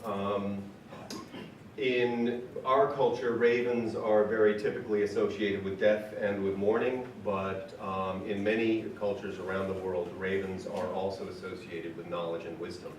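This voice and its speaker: A man with a cold-hoarse voice, presenting his paintings in a casual talk, hesitant with many ums and uhs. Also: fairly low pitch, flat delivery, unhurried. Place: event room with audience. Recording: echoey room, from far off, noisy.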